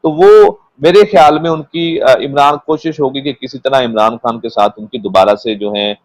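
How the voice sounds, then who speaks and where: A man's voice: medium pitch, normal speed, no echo. Pakistani man giving dry-sounding political analysis. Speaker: guest political commentator. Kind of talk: unscripted political analysis in a panel discussion.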